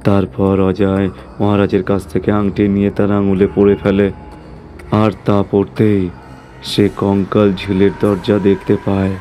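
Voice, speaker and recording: A man's voice: lowish voice, monotone, measured pace, mellow, faint music behind. A man narrating a scripted horror story as its storyteller.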